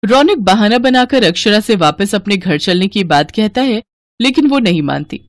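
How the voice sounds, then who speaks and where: A woman's voice: medium pitch, expressive, moderate pace, dry recording. A woman storyteller reading a scripted narration of a moral tale.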